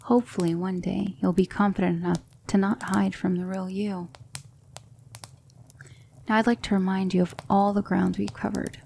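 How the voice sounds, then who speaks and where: A gentle demonic mentor of magic, played by a woman, guides in an ASMR roleplay. The voice is soft and dark with a gravelly fry, measured and reassuring, often sinking to a whisper. A faint noise sits behind it. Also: medium pitch, level tone, lightly acted. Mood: calm, reassuring. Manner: measured, instructive, hushed.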